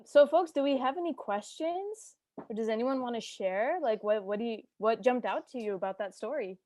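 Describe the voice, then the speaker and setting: A woman hosting an event casually, with a halting, informal way of talking. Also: on the high side, expressive, dark tone, slight background noise. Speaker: event host.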